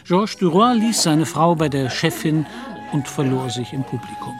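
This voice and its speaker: A man narrating a period novel in a radio play, as a measured literary storyteller. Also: on the high side, animated, faint music behind, lightly acted.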